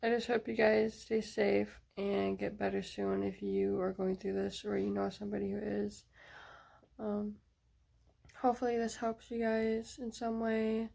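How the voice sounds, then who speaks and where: Woman vlogger, mellow fry-heavy voice, hesitant rambling diary talk. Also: mid-range voice, completely flat delivery, measured pace. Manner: hesitant, rambling, many fillers. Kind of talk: casual video diary.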